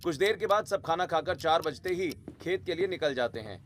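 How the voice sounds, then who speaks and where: A man's voice: a little high, expressive, brisk, a little hiss or hum. A man narrating a scripted Hindi story.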